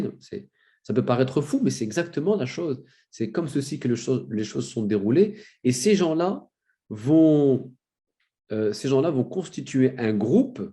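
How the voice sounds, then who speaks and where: A man with a cold giving a spoken lecture, telling a story with many fillers and restarts and rising in pitch for emphasis. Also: dark tone. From France. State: has a cold. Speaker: lecturer on religious history.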